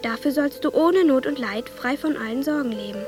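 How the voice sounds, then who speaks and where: A woman's voice: high voice, fairly quick, a little mellow, natural voice. A woman voicing a stern, solemn male God who pronounces judgement in a folk tale within a radio drama. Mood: solemn praise. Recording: studio radio drama with music.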